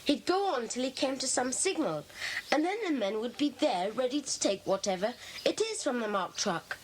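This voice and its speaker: A woman's voice: a little high, animated, moderate pace, natural voice, poor audio. A woman playing a keen, clever child detective, reasoning aloud eagerly in an audiobook dramatisation. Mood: eager, thoughtful. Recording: hissy old cassette dramatisation.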